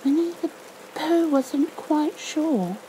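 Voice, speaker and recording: A woman's voice: sits high, lively intonation, slow pace, warm tone, a little hiss or hum, voice pushed up, low-quality recording. A woman reading a storybook as a sweet, curious young boy who asks earnest, pleading questions. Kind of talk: storybook read-aloud.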